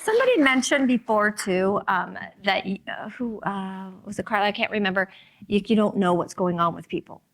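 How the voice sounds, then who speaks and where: A woman on a panel, affirming, who adds a comment and gently hands over to the next speaker. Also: mid-range voice, lively intonation, brisk. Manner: affirming, with murmured backchannels.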